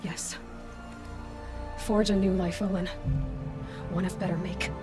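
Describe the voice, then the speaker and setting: Game heroine: stern young female hunter female actor, resolute, clipped delivery, soft music underneath. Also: fairly low pitch, flat delivery, measured pace, close to an everyday voice. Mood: solemn, resolute. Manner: terse, firm, questioning. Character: stern young female huntress, determined interrogator, ultimately merciful. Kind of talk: dramatic video game acting. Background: faint background music.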